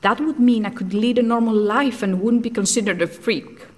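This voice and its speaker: A woman's voice: a little high, moderate pace, a little mellow, natural voice. An actress playing a frank, socially outcast woman scientist in an audio drama, speaking in a blunt, matter-of-fact way. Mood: bitter, earnest.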